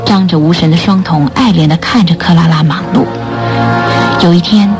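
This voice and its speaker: A woman's voice: fairly low pitch, moderate pace. A woman narrating a radio drama in a scripted, dramatic storytelling style. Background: background music.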